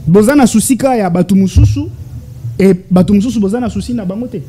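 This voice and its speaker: Insistent high-voiced Congolese man. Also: quick pace, dark tone, slightly rough. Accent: Congolese French. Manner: insistent, rhetorical questions, repeated stammered syllables. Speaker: political analyst guest. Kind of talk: interview answers, mixing French and Lingala.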